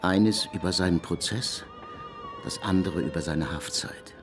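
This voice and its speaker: An actor playing a self-assured, probing criminologist in a German radio play, speaking formally and precisely. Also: male voice, low-pitched, a little slow, over music. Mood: confiding, insistent.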